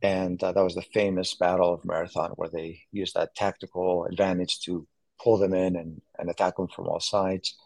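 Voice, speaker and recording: A man in his forties, born in Greece, chatting as an interview guest and often pausing on fillers and restarts. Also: low voice, flat delivery, creaky, darkish tone, no room sound, poor audio. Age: 40s. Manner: halting, many fillers and restarts. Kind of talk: casual interview chat.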